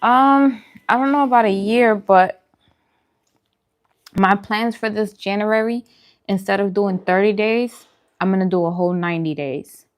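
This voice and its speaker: A woman chatting casually and informally as she hosts a food video, answering viewers in an unhurried way. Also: medium pitch. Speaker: host of a vegan food channel, eating on camera. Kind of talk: casual chat while eating, mukbang livestream.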